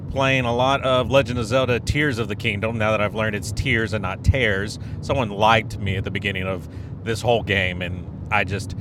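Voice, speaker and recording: A man's voice: steady tone, normal speed. A man hosting a casual solo podcast, rambling, correcting himself and laughing at his own jokes, his nose and throat still stuffy after a cold. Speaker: podcast host. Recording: noisy with background rumble.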